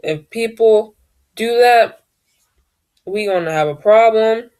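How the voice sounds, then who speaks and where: A woman with a deep voice, telling a personal story in a casual vlog, often hesitating and searching for words. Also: slow, a little mellow. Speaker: vlogger.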